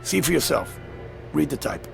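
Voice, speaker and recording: Gravelly, sly old devil. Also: male voice, a little high, sing-song, normal speed, over music, heavily acted. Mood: gleeful, boastful. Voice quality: creaky, gravelly. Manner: courtly, theatrical, teasing, self-satisfied. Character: sly, boastful devil posing as a genial old newspaperman. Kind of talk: radio drama acting.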